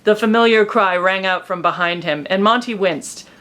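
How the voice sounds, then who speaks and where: A woman reading fan fiction aloud as an expressive, amused comedic narrator. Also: medium pitch, normal speed.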